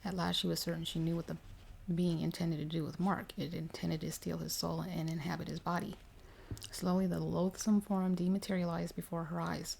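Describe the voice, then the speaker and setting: A woman reading a story aloud in ASMR style, soft-spoken and drifting into whispers. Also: on the low side, creaky, a little hiss or hum, rough recording. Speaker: reader of paranormal stories for ASMR.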